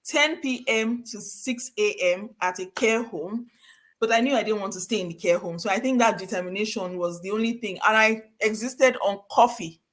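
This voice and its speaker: A woman's voice: medium pitch, normal speed, fairly bright tone. A middle-aged woman from Nigeria, hosting a live-streamed conversation and telling stories in an earnest, emphatic way. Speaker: host of a live session.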